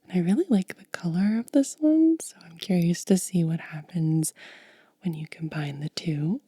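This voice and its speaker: Woman, whispered ASMR demonstration, very soft dark tone, gentle step-by-step manner, dry close-miked sound. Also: fairly low pitch, no room sound. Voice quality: breathy whisper. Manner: gentle, unhurried, step-by-step explaining. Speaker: ASMR content creator. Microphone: close ASMR microphone.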